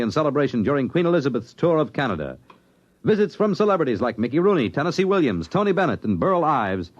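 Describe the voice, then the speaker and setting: Animated American male radio announcer, vintage sound. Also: mid-range voice. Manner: polished, emphatic, theatrical. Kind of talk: 1950s dramatic radio announcing. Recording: dull old radio recording.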